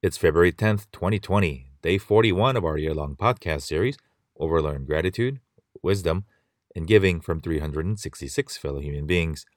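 A man hosting a podcast, reading scripted intros and asking interview questions in a polite, measured way. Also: sits low, no room sound, studio quality. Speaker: podcast host.

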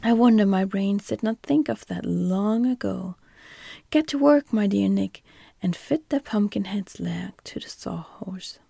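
A woman's voice: mid-range voice, measured pace, gravelly, slightly rough, dry recording, clean studio sound, heavily acted. A woman whispering a proud straw scarecrow man. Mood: pleased. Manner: whispered, soft.

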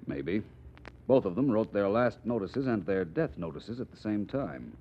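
Smooth American private eye, 1940s radio drama, deepish and lively in pitch, dull old recording. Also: male voice, normal speed, slight background noise. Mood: cool, probing. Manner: polished, crisp, probing. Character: suave, self-assured male private detective.

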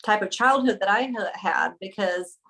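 A woman's voice: mid-range voice, moderate pace, bright, forceful tone. Hesitant woman, conversational. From Sweden. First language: Swedish. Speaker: podcast guest, author. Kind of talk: conversational personal storytelling.

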